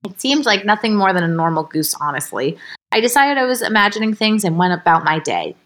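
A woman reading a story aloud as a podcast host, informal and expressive, ready to step out of the narration for asides. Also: medium pitch, fairly quick. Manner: expressive, breaks character, giggly slips and asides.

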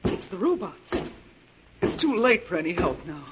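Hissy vintage radio drama, resigned prisoner, high-pitched male voice, tense, grim, dramatic. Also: big pitch swings, moderate pace. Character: grim, resigned captive awaiting death. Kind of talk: 1940s radio acting. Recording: muffled, hissy 1940s radio recording.

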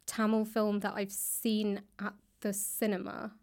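Southern British woman, tentative, mid-pitched. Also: completely flat delivery, a little slow, a little mellow. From London, England. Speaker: interview guest, author and actor. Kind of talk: casual chat. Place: indoors, in a library.

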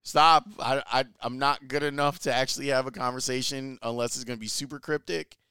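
Chatty guy telling a travel story, smooth clear voice, dry close sound. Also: medium pitch, fairly even intonation, a little fast, sharp tone, no room sound. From Chicago, US. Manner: chatty, enthusiastic, uses slang. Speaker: sports reporter.

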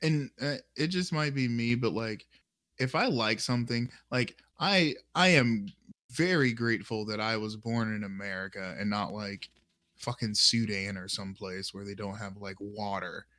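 A man's voice: on the low side, moderate pace, sharp tone, dry recording. A man co-hosting a casual podcast chat, joking sarcastically and laughing often. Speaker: podcast co-host.

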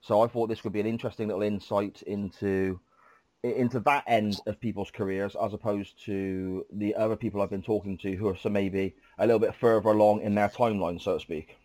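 A man's voice: lowish voice, steady tone, a little fast, a little mellow, dry recording, low-quality recording. A man hosting a podcast interview in a chatty, polite way with frequent ums, sounding congested from a cold. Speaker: podcast host. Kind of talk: casual interview chat.

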